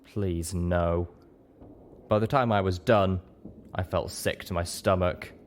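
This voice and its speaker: A man's voice: low-pitched, moderate pace, a little hiss or hum. A man reading a scripted first-person story as an audiobook narrator.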